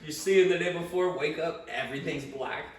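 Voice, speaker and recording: A man's voice: a little high, moderate pace, a crowd in the background. A young man hosting a casual chat with friends, bantering in slang and swearing freely. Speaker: podcast host. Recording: echoey room, distant mic, background noise.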